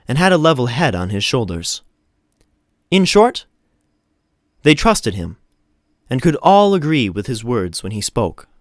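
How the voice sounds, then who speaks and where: A man narrating a scripted story in an expressive, animated storytelling manner. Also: mid-range voice, dry recording, studio quality. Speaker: audiobook narrator.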